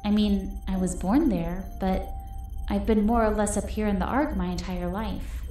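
A woman voicing a gentle, hopeful young girl in an animated comic dub, earnest, soft-spoken and wistful. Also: medium pitch, moderate pace, close to an everyday voice. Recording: echoey voice under background music.

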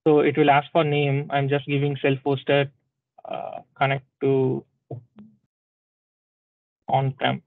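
A man presenting a live software tutorial at a tech community session, explaining step by step with many "uh"s and "okay"s and checking in with "right?". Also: mid-range voice, monotone, slow pace, vocal fry, long pauses, dry recording. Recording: dry, thin phone-like sound.

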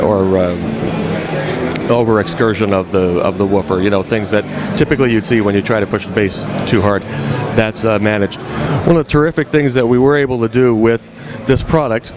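A man in his forties with an American accent, giving a product demo to camera as a company presenter. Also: on the low side. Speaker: company product director presenting at a trade show. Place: large indoor trade show hall. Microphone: handheld microphone close to mouth. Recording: busy trade show crowd noise. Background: clear crowd bustle.

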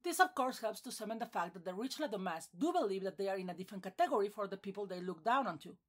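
A woman's voice: medium pitch, expressive, quick pace. A woman delivering scripted film commentary as a video essayist, with emphatic delivery and wry asides.

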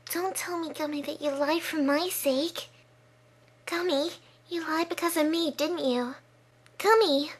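A woman voicing a sweet, earnest little girl in a game fandub, soft, childlike and pleading. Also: high-pitched.

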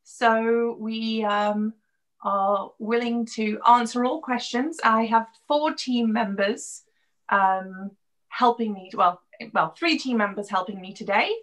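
A woman's voice: a little high, slow pace, a bit bright, distant mic. A middle-aged woman hosting a live online cooking class from her home kitchen, chatty with many fillers and restarts. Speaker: host and team leader. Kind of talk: live video call cooking class introduction. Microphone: laptop or phone camera at a distance.

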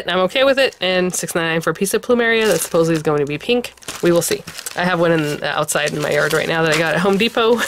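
A woman with a fairly low voice rambles through a show-and-tell of her purchases, eager and chatty, full of little laughs and fillers. She sounds like she is talking at a home craft table. A faint hiss sits under the recording. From California. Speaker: craft and haul vlogger. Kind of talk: casual show-and-tell haul chat.

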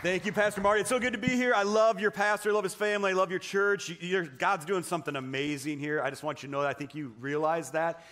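A man's voice: high voice, fairly even intonation, quick pace, few pauses, fairly bright tone. A man in his forties, a guest speaker, giving a personal testimony from a church stage in a storytelling manner. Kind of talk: testimony sermon.

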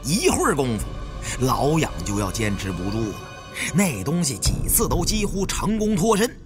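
Very animated male audiobook narrator. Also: mid-range voice, normal speed, music in the background. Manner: storyteller's expressive delivery. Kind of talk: dramatic scripted first-person narration.

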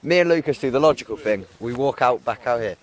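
A man's voice: mid-range voice, fast talker. Teen guy vlogging outdoors on a phone. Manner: chatty, excitable, swears and laughs with friends. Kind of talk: casual vlog to camera. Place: outdoors in fields on a windy grey day. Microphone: phone camera held at arm's length.

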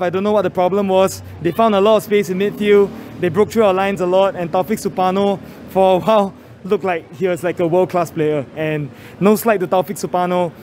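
Young singaporean guy hosting fan chat outside a stadium, high voice, talks fast. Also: a little mellow, slight background noise. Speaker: fan channel host and interviewer. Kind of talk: casual post-match interview and commentary. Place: outdoor stadium entrance. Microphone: handheld phone held near mouth.

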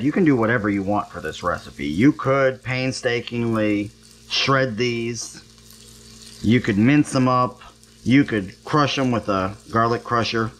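A man's voice: mid-range voice, measured pace, fairly bright tone, slight background noise, rough recording. A man hosting a home cooking show, chatting casually and making wry, self-deprecating asides as he cooks. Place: home kitchen, stovetop.

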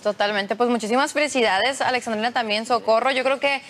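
A woman's voice: on the high side, brisk, fairly bright tone. A woman, asking questions as host of a live TV interview. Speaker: TV show host. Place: indoor television studio.

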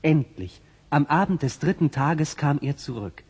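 A man's voice: a little high, expressive, measured pace, darkish tone, slightly rough. A man narrating a fairy tale in a scripted, old-fashioned children's audio play. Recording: old vinyl record, faint noise.

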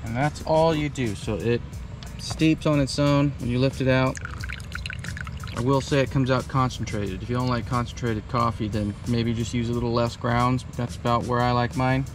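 Man in his thirties, relaxed chatty explainer, outdoors at camp, music playing underneath. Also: mid-range voice, moderate pace. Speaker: vlogger. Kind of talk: casual how-to demo.

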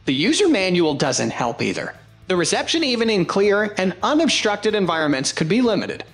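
A narrator reading a scripted product review in a presentational style. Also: medium pitch.

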